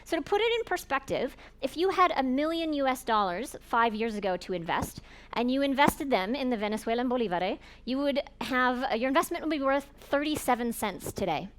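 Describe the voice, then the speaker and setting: Woman speaker, fairly high voice, earnest explainer at a conference talk. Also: expressive, a little fast. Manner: explanatory, earnest, addresses audience directly. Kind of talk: presentation talk.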